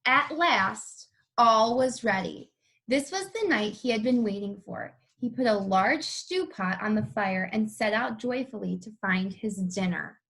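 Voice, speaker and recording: A woman's voice: mid-range voice, animated, a little slow, creaky, a bit bright, rough recording. A woman co-reading a children's story aloud, with casual chatty comments in between. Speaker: co-reader.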